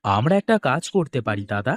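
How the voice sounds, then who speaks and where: A man's voice: mid-range voice, very animated, normal speed, close to an everyday voice. A man voicing an eager younger village farmer in a cartoon, coaxing and pleading with his elders. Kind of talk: Bengali comic cartoon acting.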